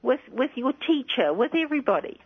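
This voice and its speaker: A woman, a guest on a radio talk show, who explains things in a measured way. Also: high-pitched, big pitch swings, slightly rough. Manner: measured and explanatory, often saying "you know". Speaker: guest speaking on migrant job-seeking. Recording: dull lo-fi radio recording.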